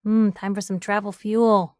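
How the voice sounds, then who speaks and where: A woman's voice: medium pitch, little pitch movement, a little slow, mellow, no echo, studio quality, natural voice. An actress playing a plucky young woman newspaper reporter in a video game, with a wry, teasing, conversational way of talking. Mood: friendly. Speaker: companion character voice actor.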